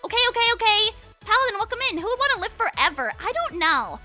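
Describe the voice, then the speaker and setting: A woman's voice: very high-pitched, very animated, fast talker, sharp tone, soft background music. A woman in her twenties chatting casually as a livestream host, with a playful, rambling manner and lots of filler "like". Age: 20s. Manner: playful, rambling, says "like" constantly. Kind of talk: casual chat.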